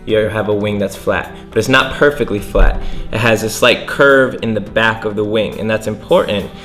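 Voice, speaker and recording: A young man explains the physics of sailing in an easygoing, plain way, his voice sitting fairly low. He is close to the camera indoors, with faint music running underneath. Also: moderate pace, fairly bright tone. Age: young adult. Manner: explains with hand gestures, plain everyday words. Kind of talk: casual explanatory lesson. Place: indoors by a window near the water.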